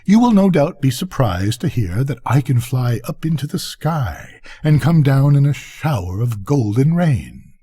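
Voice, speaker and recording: A man's voice: mid-range voice, sing-song, measured pace, few pauses, mellow, breathy edge, voice pushed up. Man putting on a pompous, swooping storybook rocket. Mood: condescending. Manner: pompous, grandly condescending. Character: haughty, self-important male firework rocket, vain snob. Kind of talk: theatrical storybook character reading.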